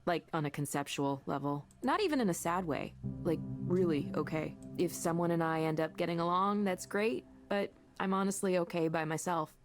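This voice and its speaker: A woman acting as a kind, self-assured female coworker in a visual novel game. She speaks in a fairly low voice with plenty of fry, earnest and gently encouraging. The delivery is natural and conversational, over faint music. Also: normal speed, few pauses, close to an everyday voice. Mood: candid, reflective.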